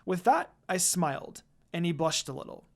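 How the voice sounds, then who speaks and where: A woman reading a first-person fan fiction story aloud in a casual, expressive, dramatized way. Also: on the low side, moderate pace.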